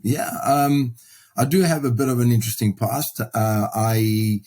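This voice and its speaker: A middle-aged man from Sudan and South Africa answering interview questions as a podcast guest, in a halting, measured way that often repeats words. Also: mid-range voice, level tone, darkish tone. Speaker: podcast guest, property investor and mentor.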